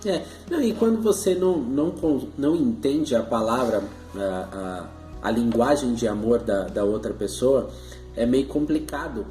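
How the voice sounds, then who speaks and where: Mellow Brazilian man in an echoey room. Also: mid-range voice, normal speed, soft background music. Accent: Brazilian Portuguese. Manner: chatty, jokey, many restarts and laughing asides. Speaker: co-host, husband and father.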